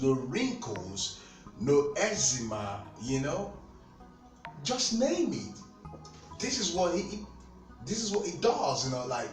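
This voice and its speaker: A man with a Nigerian accent talking casually to camera about skincare, animated and repetitive, speaking straight to his viewers. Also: mid-range voice. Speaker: skincare seller. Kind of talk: casual product talk to camera. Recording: echoey room, mic at a distance, faint music.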